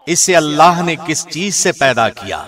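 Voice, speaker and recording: A man reading a scripture translation as a scripted narration, in a measured, formal way. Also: a little high, very animated, a bit bright. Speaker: translation narrator, radio host.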